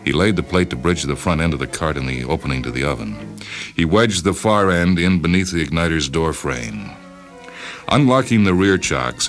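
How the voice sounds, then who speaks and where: A man narrating a science fiction short story in a measured, dramatic reading with deliberate pauses. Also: a bass voice, fairly bright tone. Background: music behind the voice.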